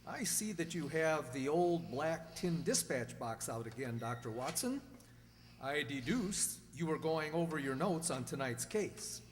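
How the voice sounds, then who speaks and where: A man acts an old-time radio announcer. His voice sits a little high, formal and courteous, and echoes as if in a hall. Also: expressive, a little slow, slight background noise. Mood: courteous interest. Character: courteous radio announcer, keen listener to the doctor. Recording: hall-like long reverb.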